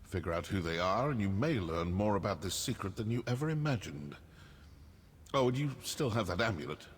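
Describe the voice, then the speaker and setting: An actor playing a suave, vengeful assassin in a video game, speaking in a measured, deliberate way. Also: male voice, lowish voice, expressive, slight background noise, lightly acted. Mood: intent. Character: suave, vengeful male assassin hunting a cult.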